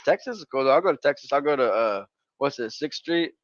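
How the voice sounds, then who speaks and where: A man's voice: medium pitch, animated, fast talker, no echo, highs cut off. A man from California chatting casually, laid-back and slangy, with sentences that trail off. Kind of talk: casual chat.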